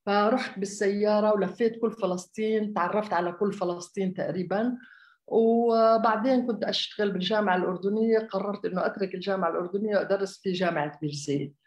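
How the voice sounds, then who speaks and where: A woman's voice: medium pitch, quick pace, darkish tone. A woman raised in Jordan, an architect giving a conversational public talk, hesitant, with many fillers and restarts. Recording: echoey room.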